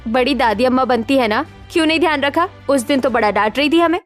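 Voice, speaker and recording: A woman plays a young modern woman from a cartoon story, fretful and chatty. Her voice is very high, with music under it. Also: fast, close to an everyday voice. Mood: scolding. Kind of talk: animated Hindi story dialogue.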